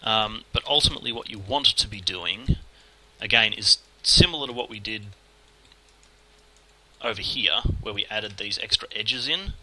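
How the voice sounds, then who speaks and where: A man with an Australian accent talking fast and casually through a screen-recorded modelling tutorial, muttering at the software as he works. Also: fairly low pitch, rough recording. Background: keyboard typing and mouse clicks.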